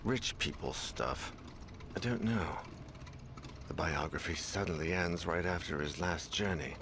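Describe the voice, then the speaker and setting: A man playing a pensive investigator in a video game, musing aloud in a measured way as he goes over clues. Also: sits low, background noise. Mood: musing, curious. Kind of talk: scripted game dialogue, thinking aloud and reading documents.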